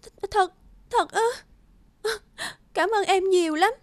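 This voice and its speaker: A woman voicing a distraught young village woman, crying and plaintive, in an animated fairy tale. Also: extremely high pitch, slow, dry recording. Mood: tearful gratitude. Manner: wailing, plaintive.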